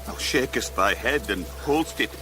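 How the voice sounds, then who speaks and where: An actor playing a grieving, enraged old earl in a Shakespearean radio drama, declaiming verse with passion. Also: male voice, medium pitch, lively intonation, lightly acted. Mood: dread. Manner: declamatory, impassioned, slow verse delivery. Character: grief-stricken, enraged old earl, a sick rebel father. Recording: music behind the voice.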